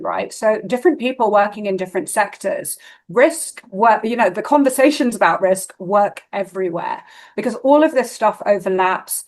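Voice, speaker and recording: Woman, risk specialist, fluent and articulate, steady conversational delivery. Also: mid-range voice. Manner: fluent and articulate, tags points with "right?". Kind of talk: casual conversation.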